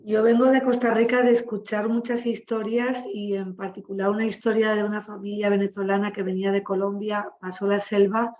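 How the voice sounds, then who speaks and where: Woman moderator with a Spanish accent from Spain, reflective, halting delivery, dull lo-fi call audio. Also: mid-range voice, level tone, a little mellow. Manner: reflective, searching for words. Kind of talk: webinar panel talk.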